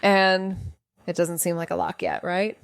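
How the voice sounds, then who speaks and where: Young female amateur sleuth, lowish voice, blunt, slangy, sardonic, anxious, noir audio drama, close dry sound. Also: level tone, unhurried, vocal fry, clean tone, dry recording. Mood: exasperated, defiant. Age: young adult. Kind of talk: noir audio drama dialogue and inner narration.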